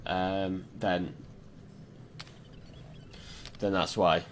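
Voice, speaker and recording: A British man streams a game in a lowish, hoarse voice that is giving out. He talks casually and loosely to his viewers, with the odd swear word. There is noise behind him. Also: level tone, drawn-out words. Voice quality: hoarse, strained voice. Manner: informal, sweary. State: losing his voice. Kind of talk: casual livestream chat while gaming.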